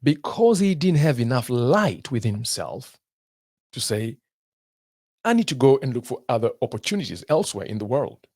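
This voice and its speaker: A man's voice: mid-range voice, very animated, a little mellow, no room sound, clean studio sound. Emphatic man giving a motivational talk. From Zimbabwe. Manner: emphatic, with repeated phrases and drawn-out pauses. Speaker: motivational speaker.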